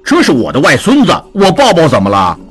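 A man voicing a proud, fussy old father-in-law who talks loud and huffy. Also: fairly high pitch, wildly swooping pitch, brisk, breathy edge, voice pushed up, heavily acted. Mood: flustered.

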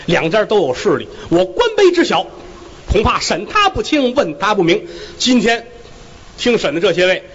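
A storyteller voicing a stern male magistrate in his thirties, loud and commanding. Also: sits high, sing-song, moderate pace, husky, muffled recording, heavily acted.

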